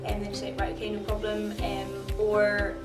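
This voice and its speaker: A chatty young woman talks about her course and plays out short quoted remarks as she goes. Her voice comes from a distance in a roomy space, with music playing clearly behind. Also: mid-range voice, completely flat delivery, moderate pace. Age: young adult. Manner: chatty, acts out little quoted exchanges. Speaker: physiotherapy student. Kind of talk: casual talk to camera.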